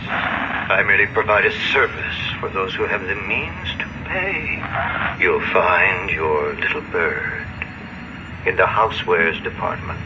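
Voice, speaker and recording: A man's voice: low voice, measured pace, lo-fi sound, background noise. A man voicing a sinister, flamboyant mad artist in a video game, theatrical and mocking, drawing out his words. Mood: mocking, grandiose.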